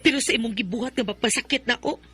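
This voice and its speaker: A woman playing a heartbroken young woman in a radio drama, who speaks emotionally and turns soft and tearful at times. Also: fairly high pitch, fast talker, husky. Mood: hurt. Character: heartbroken young woman falling in love again.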